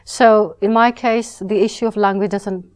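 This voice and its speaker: A woman novelist from Britain, answering interview questions in a measured, reflective way with some restarts. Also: mid-range voice, steady tone, darkish tone.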